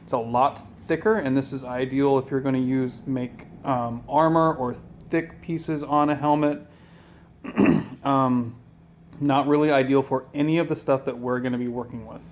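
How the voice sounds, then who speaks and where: A man talks through a hobby how-to in a relaxed, unhurried way. His voice is plain and even, sitting at an ordinary middle pitch, and he wanders from point to point with frequent ums. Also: slight background noise. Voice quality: flat, even voice. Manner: plain, low-key and meandering, with many ums. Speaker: hobby tutorial maker. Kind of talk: casual how-to tutorial.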